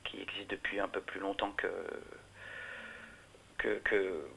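A man's voice: low-pitched, monotone, unhurried, gravelly, sharp tone, slightly rough, poor audio. A middle-aged man, a musician, answering interview questions in a hesitant way, with many restarts and fillers. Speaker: musician guest.

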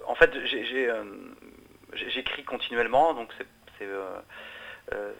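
A man's voice: medium pitch, a little slow, creaky, a bit bright, low-quality recording. A middle-aged man, a musician, answering interview questions in a hesitant way, with many restarts and fillers. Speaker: musician guest.

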